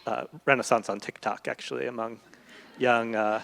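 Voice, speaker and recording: A man's voice: medium pitch, flat delivery, normal speed, gravelly, fairly bright tone. Man giving a literary talk, echoey hall. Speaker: translator and guest speaker. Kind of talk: literary discussion before an audience. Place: large event hall, live public talk. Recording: echoey hall with long reverb.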